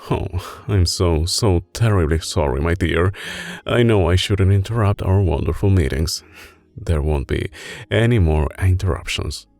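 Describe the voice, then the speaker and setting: Man playing a deep, slow-talking Italian mafia boss. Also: darkish tone, dry recording. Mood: tender, doting. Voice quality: deep, creaky. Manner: slow, deliberate, controlled, intimate. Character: soft-spoken, menacing, doting male mafia boss boyfriend. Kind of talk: ASMR roleplay, soft spoken with whispering. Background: music.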